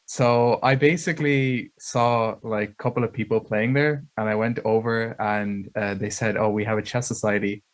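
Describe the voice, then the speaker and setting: Young man, relaxed and hesitant. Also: lowish voice, moderate pace, few pauses. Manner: relaxed, hesitant, many fillers. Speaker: student panelist. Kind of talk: casual webinar chat. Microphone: video call.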